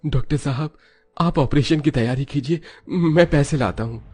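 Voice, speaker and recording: A man's voice: on the high side, moderate pace, mellow, husky, voice pushed up. A man voicing an anguished, devoted adult son from a poor village in a dramatized moral story. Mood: anxious, pleading.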